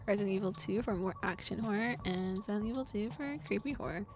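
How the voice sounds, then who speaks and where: A woman's voice: medium pitch, fast talker. A young woman streamer in casual, rambling chat, giggling often and talking in a bubbly way into a desk microphone. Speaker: VTuber streamer.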